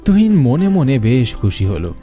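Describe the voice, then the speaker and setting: Mellow male horror narrator, lively pitch. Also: normal speed, soft background music. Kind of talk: scripted horror story narration.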